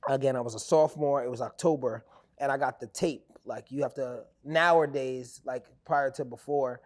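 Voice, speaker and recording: A man, telling stories as an actor guest in a casual interview. He rambles in an animated way with many fillers. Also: mid-range voice, normal speed. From New York. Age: 20s.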